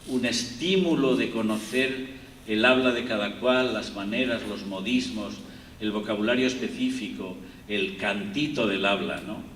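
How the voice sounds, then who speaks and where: Spanish-accented man, low voice, rambling lecture, distant mic, roomy hall, faint room noise. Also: fairly bright tone. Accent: Spanish (Spain). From Spain. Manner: rambling, digressive, many fillers and restarts. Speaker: guest lecturer. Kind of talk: university lecture.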